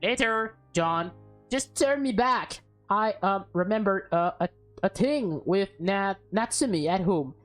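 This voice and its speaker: A woman voicing a flustered, evasive teenage schoolgirl from a visual novel, halting and eager to get away. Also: mid-range voice, animated, close to an everyday voice. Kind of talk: visual novel character read-aloud. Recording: background music under the voice.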